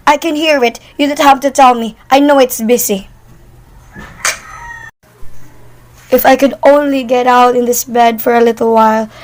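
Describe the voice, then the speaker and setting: A woman's voice: a little high, steady tone, brisk, lightly acted. A woman playing a nervous, demanding bedridden wife, irritable and frantic, in an amateur radio play. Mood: impatient, anxious. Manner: exasperated, complaining, dramatic.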